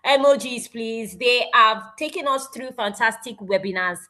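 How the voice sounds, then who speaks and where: A woman with a Nigerian accent presenting informally at an online event, emphatic and animated, with exclamations and repeated phrases. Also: fairly high pitch, measured pace.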